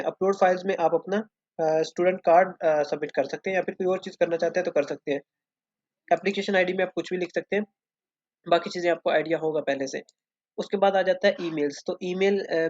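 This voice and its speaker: A man's voice: a little high, darkish tone, dry recording. A man with a Pakistani accent giving an informal, earnest explainer to students, instructive and repetitive, mixing English phrases into his speech. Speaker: student-community video host. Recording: dull, lo-fi close dry voice recording.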